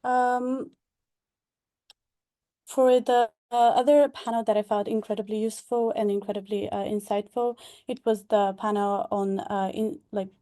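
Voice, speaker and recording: Young woman, monotone delivery, mellow tone, hesitant with lots of fillers, video call audio. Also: mid-range voice, unhurried, clear, resonant tone. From Bulgaria. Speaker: past delegate and graduate scholar. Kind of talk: online information session talk.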